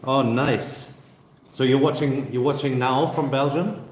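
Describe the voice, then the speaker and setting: A man's voice: medium pitch, unhurried, slight background noise. Easygoing man on a roomy livestream. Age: 30s. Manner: relaxed, hesitant with many fillers and restarts. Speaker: travel vlogger answering viewer questions. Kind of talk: casual live stream Q&A. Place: busy hostel co-working space. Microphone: phone camera.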